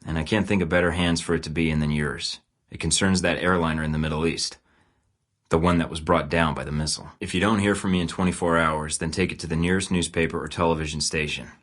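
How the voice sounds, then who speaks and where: A man's voice: a bass voice, steady tone, fast, fairly bright tone. An actor playing a terse, guarded male secret agent in a video game, with dry, clipped, sardonic delivery.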